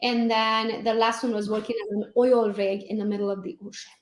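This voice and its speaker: A woman from Transylvania with a Hungarian accent, telling her life story as an interview guest in a lively, laughing way. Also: on the high side, fairly quick, echoey room, rough recording. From Transylvania, Romania. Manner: animated, laughs often, acts out quotes. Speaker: guest, real estate agent.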